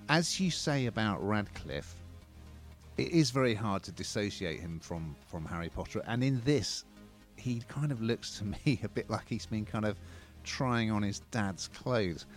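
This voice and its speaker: A man co-hosting a review show in a lowish voice. He talks in a relaxed, casual way with a dry, wry humour, over faint music. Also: a little slow. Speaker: co-host reviewing TV and film.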